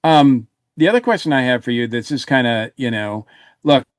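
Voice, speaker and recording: A man speaking in a halting, think-aloud way as a panelist in a casual podcast review. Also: medium pitch, dry recording, studio quality.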